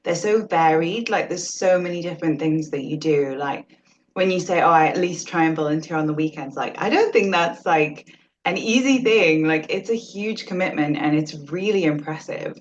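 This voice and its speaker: A young woman hosts a podcast with a very low voice for a woman. She is chatty and leans on "like" as she asks her questions. The audio is dull and lo-fi. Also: moderate pace. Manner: chatty, fills with "like" a lot. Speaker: podcast host. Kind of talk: conversational interview.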